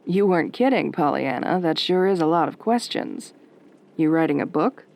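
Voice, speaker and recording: Woman acting lowish, velvety late-night DJ. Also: expressive, normal speed, vocal fry. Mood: smooth, sardonic. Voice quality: soft, velvety. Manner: dry, teasing, sardonic. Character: velvety, wry, flirtatious female late-night radio DJ. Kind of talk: late-night radio host roleplay.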